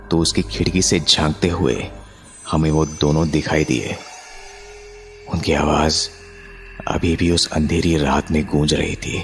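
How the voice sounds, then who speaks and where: A man with a deep voice narrates a horror tale as if he lived it. He builds suspense with drawn-out, dramatic pauses. Music plays behind him. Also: fairly bright tone. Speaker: narrator of a horror story, voicing its main character. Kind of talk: dramatic first-person horror storytelling.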